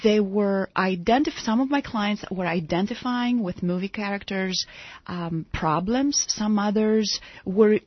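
A woman from Greece with a breathy voice, recorded close with no room sound. She tells anecdotes as a studio guest, pausing and restarting often. The audio sounds dull and lo-fi. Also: mid-range voice, no room sound. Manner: storytelling, hesitant with fillers and restarts. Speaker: guest author and therapist. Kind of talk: radio interview. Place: radio studio.

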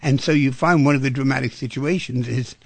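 A man hosting a talk radio show, talking in an animated way and asking rhetorical questions. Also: medium pitch, brisk, vocal fry, breathy edge, no room sound.

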